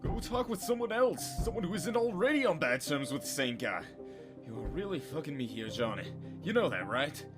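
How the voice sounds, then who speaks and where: A man's voice: a little high, very animated, moderate pace, music in the background. A man acting an exasperated, sarcastic reluctant ally in an audio drama, scolding as he talks.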